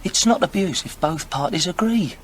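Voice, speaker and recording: Man acting creepy, volatile father under interrogation, swooping pitch. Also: lively intonation, normal speed, background noise. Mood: defiant. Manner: insistent, defiant denials. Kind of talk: audio drama acting.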